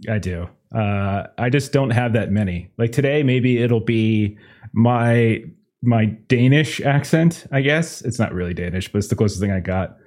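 A man's voice: lowish voice, measured pace, darkish tone. Rambling man hosting a tabletop game. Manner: rambling, many fillers, laughs at own jokes. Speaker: game master. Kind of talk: casual chat opening a tabletop game stream.

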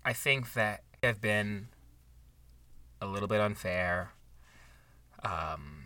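An awkward, heartfelt man co-hosts a mock book podcast. His delivery is hesitant and slow, with a sharp, bright tone, in a natural improv comedy style. The recording is dry and slightly distant from the mic. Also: lowish voice, no room sound. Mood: wistful, halting. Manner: halting, hesitant, sincere. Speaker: podcast co-host.

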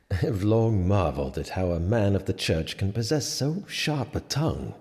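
A wry, low-voiced medieval sheriff. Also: male voice, big pitch swings, darkish tone. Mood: amused. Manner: wry, halting, formal wording. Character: smug, teasing medieval sheriff, awkward in love. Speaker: actor in an audio drama. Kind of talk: dramatic audio drama acting. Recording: long reverberant hall.

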